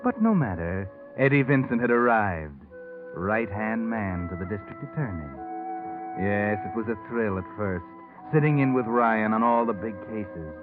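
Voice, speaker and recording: A man's voice: lowish voice, expressive, unhurried. An actor playing a sardonic, shadowy male storyteller, narrating a 1940s radio mystery in a wry, insinuating way. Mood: sardonic, ominous. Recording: tinny old radio recording, loud music.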